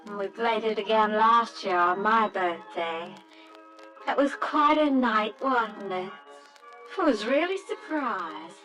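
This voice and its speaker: A woman's voice: mid-range voice, lively intonation, slow pace, fairly bright tone, faint music behind, rough recording. A woman playing an affectionate, sentimental young mother in an old-time radio drama, tender and gushing. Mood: wistful.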